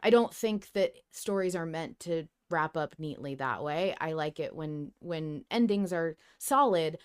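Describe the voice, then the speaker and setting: A woman's voice: low-pitched, expressive, unhurried, smooth. A woman co-hosting a podcast, talking casually about a fiction show and reasoning things through in a wry, pragmatic way. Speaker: podcast co-host.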